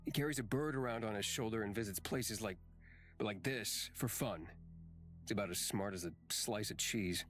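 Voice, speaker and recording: A man's voice: fairly low pitch, normal speed, fairly bright tone, faint music behind, natural voice. A man voicing a grumpy, sarcastic young man in a visual novel, dry, cutting and impatient. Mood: scornful.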